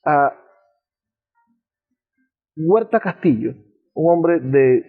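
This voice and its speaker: A man's voice: on the high side, expressive, extremely slow. A man with a Venezuelan accent conducting a conversational radio interview in a wordy, discursive way. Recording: thin phone-like radio sound with music.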